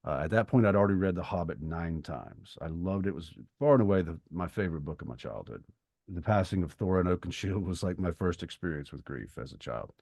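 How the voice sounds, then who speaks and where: A man in his fifties co-hosting a podcast, talking reflectively and thoughtfully with pauses between phrases. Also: low voice, expressive, creaky, darkish tone, no echo, studio quality. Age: 50s. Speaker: podcast co-host. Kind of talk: reflective conversational podcast talk.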